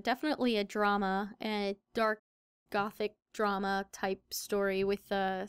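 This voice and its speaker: A woman's voice: medium pitch, clean tone, dry recording. A very young-sounding woman with an American accent, answering interview questions as a voice actor. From Southern United States.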